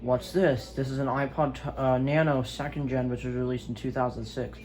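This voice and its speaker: A man's voice: mid-range voice, fairly even intonation, normal speed, a little mellow, slight background noise, rough recording. A man giving a casual, unscripted product review in a hesitant, rambling way, on an amateur home recording. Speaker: gadget reviewer.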